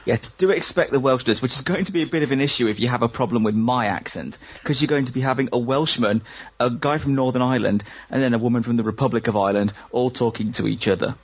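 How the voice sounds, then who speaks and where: A man co-hosting a podcast, bantering in a quick, wry way. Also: medium pitch. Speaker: podcast co-host. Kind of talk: casual banter. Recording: dull sound.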